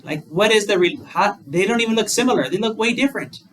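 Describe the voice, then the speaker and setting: A man's voice: high voice, animated, quick pace, a bit bright. A male Buddhist monk giving a dharma talk, conversational, using plain examples and rhetorical questions. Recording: distant mic with faint room noise.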